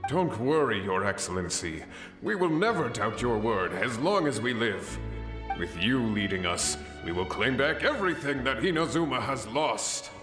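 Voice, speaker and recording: A man's voice: medium pitch, sing-song, normal speed, close to an everyday voice. Casting: man as a loyal samurai, reassuring tone, roomy echo and music under. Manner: reverent, reassuring. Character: devoted male samurai soldier, hopeful and loyal. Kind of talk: game voice acting. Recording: echoey game audio with music.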